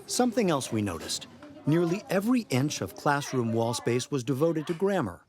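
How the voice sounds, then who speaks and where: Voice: mid-range voice, very animated, normal speed, darkish tone. A reporter delivering scripted news narration.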